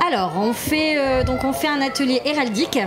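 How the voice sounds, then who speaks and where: A woman's voice: sits high, fairly quick. A woman with an accent from France giving casual answers as an interview guest. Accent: French from France. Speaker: interviewee, member of a fantasy role-play association. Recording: busy crowd noise around. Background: crowd at a medieval festival.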